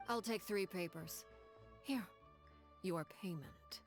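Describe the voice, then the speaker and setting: A woman voicing a poised, commanding leader in a video game, speaking with measured, formal, veiled politeness. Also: fairly low pitch, long reverb tail, faint music behind. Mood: calm, commanding. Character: poised, coolly commanding adult woman leading a shadowy organization. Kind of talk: scripted video game voice acting.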